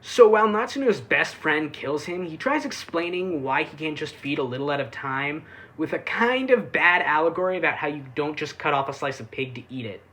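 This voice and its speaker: Casual commentary, sarcastic reviewer, medium pitch, offhand asides, frequent swearing. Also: animated, a little fast, fairly bright tone. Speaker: anime reviewer.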